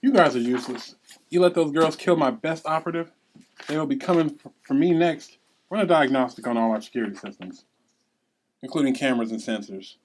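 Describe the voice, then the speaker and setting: A man's voice: mid-range voice, animated, fairly quick, slight background noise, lightly acted. A man playing an imperious woman crime boss in a script read-through, curt and scolding. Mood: angry commanding.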